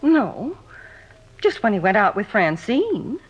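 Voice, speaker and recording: An American actress playing an anxious, defensive middle-aged housewife in an old radio drama, indignant and insistent. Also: female voice, mid-range voice, sing-song, takes it slowly, husky, highs cut off, heavily acted. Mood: hesitant. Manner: indignant, insistent, questioning back. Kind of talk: 1950s radio drama acting.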